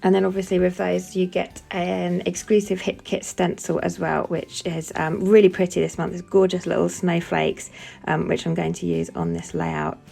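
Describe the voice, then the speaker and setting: Chatty woman with a gravelly voice. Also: on the low side, animated, moderate pace, warm tone, faint music behind. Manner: chatty, lots of "um" fillers. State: has a cold, sniffling. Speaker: scrapbooking crafter demonstrating a layout. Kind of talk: casual voice-over for a craft process video.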